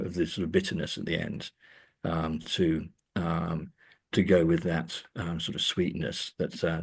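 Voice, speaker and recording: A man speaking as an academic interview guest, discussing books and films in a hesitant, thoughtful, halting way. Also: low-pitched, darkish tone, slightly rough. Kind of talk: conversational literary and film discussion.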